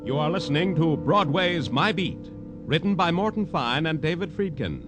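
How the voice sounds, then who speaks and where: A male announcer reads a scripted promo in vintage 1950s radio style. His voice sits a little high and moves with wide, animated inflection, polished throughout. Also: normal speed, loud music behind the voice.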